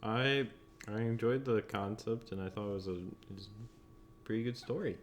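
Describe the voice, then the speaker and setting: A man with a deep voice talks casually as a panelist. His delivery is tentative and halting, with faint noise in the background. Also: steady tone. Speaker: roundtable panelist. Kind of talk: casual chat.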